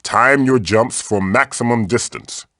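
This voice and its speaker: A man's voice: medium pitch, unhurried, pushed and bright, no room sound, studio quality. A man voicing a magical floating tiki mask in a video game, a fatherly guide who is theatrical, encouraging and wry. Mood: instructive. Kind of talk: video game character voice lines, tutorials and quips.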